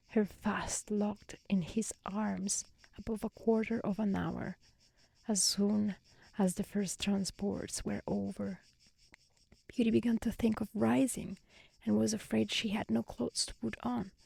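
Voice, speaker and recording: A woman reading a fairy tale aloud as soft-spoken ASMR, calm, gentle and soothing. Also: medium pitch, measured pace, vocal fry, slightly rough, dry recording. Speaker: storyteller. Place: outdoors in nature.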